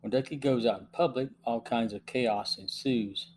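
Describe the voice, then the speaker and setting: A middle-aged man hosting a review podcast, reading scripted narration in a measured way. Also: mid-range voice. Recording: faint hum and background noise.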